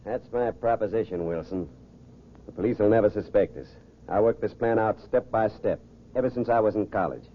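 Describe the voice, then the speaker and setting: Man acting a cold, commanding criminal, muffled, low-voiced. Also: a little fast, slight background noise, natural voice. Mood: cool, insistent. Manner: commanding, self-assured. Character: cold, smooth, calculating young criminal posing as socialite. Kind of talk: old-time radio crime drama acting. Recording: muffled old radio recording.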